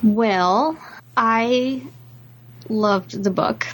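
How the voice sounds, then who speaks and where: A woman's voice: a little high, extremely slow, poor audio. A woman co-hosting a podcast in casual, conversational discussion. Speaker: podcast co-host.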